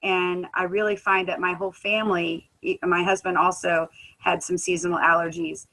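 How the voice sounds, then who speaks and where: Bright-voiced woman sharing an earnest testimony. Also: lowish voice, flat delivery, moderate pace, rough recording. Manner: earnest, conversational, frequent um fillers. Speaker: guest speaker, musician. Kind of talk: personal testimony at a wellness presentation.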